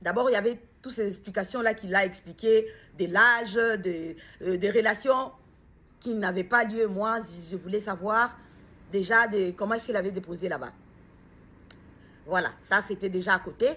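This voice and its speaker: A woman speaks in a halting, hesitant way, often pausing to search for the right word. She sits at a middle pitch and talks like a bereaved mother giving a personal testimony, earnest and insistent when she raises her questions. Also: a bit bright, breathy edge.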